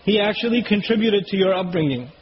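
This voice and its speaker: High-voiced man giving an Islamic lecture. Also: lively intonation. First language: Urdu. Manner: explains word meanings slowly, recites Quranic Arabic quickly. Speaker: Islamic preacher. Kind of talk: religious lecture. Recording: dull low-fidelity recording with faint hiss.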